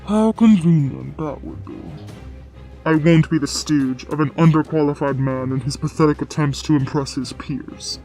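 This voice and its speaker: A man voicing a proud, aloof dark warrior in a sci-fi comic dub, sardonic and sneering in his delivery. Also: fairly high pitch, moderate pace, faint music behind, natural voice, low-quality recording. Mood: mocking, contemptuous. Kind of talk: dramatic voice acting in a comic dub.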